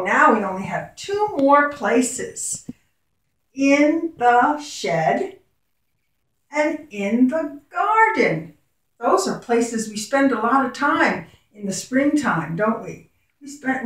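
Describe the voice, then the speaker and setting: A woman teacher reads a picture book to small children in a sing-song, patient way, drawing them out with gentle questions. Her voice sits a little high and moves slowly. It is picked up from across a very echoey room at home. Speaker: former teacher reading to young children. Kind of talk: read-aloud lesson for young children. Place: home classroom. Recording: very echoey room, voice far from mic.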